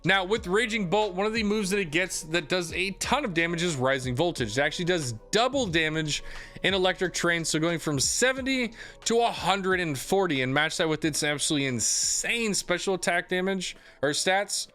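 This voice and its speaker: A young man, a gaming YouTuber, giving a casual game guide in an upbeat, chatty way with lots of fillers, his voice slightly hoarse. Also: high-pitched, expressive, moderate pace, sharp tone, soft background music.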